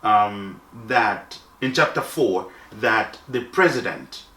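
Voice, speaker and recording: A man from Zambia speaking slowly and deliberately to his fellow countrymen, pausing often with fillers. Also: lowish voice, expressive, sharp tone. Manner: slow and deliberate, with many pauses and fillers. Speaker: diaspora commentator. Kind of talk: spoken address to viewers. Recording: roomy, from a distance off the mic.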